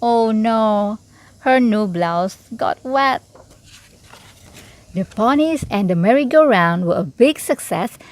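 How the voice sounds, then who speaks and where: A woman reading a children's storybook aloud in an expressive, unhurried way, with little asides to the listener. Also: medium pitch, no echo. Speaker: storybook reader.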